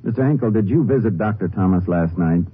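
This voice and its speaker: A male actor plays a low-voiced Texas Ranger, calm and businesslike, sizing things up in terse, probing phrases. The sound is a muffled old radio drama with some noise. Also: fast, natural voice. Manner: clipped, matter-of-fact questioning. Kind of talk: 1950s radio drama acting.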